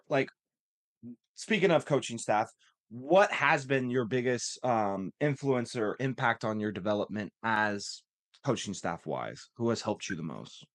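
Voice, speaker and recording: A male interviewer speaks in a medium-pitched voice with a very dry sound. He hosts a casual sports interview and lets his informal questions ramble a little. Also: a bit bright, no echo. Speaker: interviewer, host.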